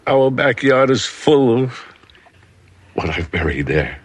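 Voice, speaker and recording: An actor playing a weary, bitter, protective father in a television drama, speaking gravely and with intensity. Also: mid-range voice, very animated. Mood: grim, weary. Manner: slow, grave, emotionally intense.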